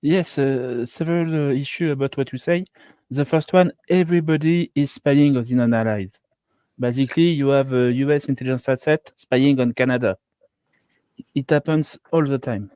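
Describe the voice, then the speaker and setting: A man discussing analytically as a panelist, in halting English with non-native phrasing. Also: mid-range voice, mellow, clear, resonant tone, no echo. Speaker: panel participant in live open mic.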